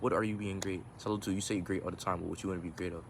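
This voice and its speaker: A man's voice: low-pitched, very fast, gravelly, background noise. A man vlogging casually into his phone outdoors, rambling and reflective, full of restarts and fillers. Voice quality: can go monotone. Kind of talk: casual talk to camera. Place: outdoors in the sun. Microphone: phone camera.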